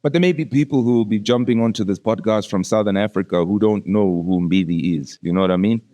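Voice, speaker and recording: A man's voice: lowish voice, fast talker, dark tone. A man hosting a podcast, chatting casually with a guest in slangy, familiar language. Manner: uses slangy, familiar address like "bro". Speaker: podcast host interviewing a musician. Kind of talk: casual conversational interview.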